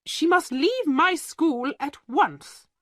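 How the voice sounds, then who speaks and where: A woman's voice: high voice, very animated, slow, dry recording, studio quality, voice pushed up, exaggerated voice. A woman voicing a haughty, greedy headmistress who speaks shrilly, indignant and cold.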